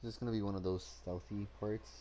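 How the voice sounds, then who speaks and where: A man's voice: lowish voice, fairly even intonation, fast, mellow, slight background noise. A man doing casual gameplay commentary as a streamer, with offhand, muttered reactions. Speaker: game streamer.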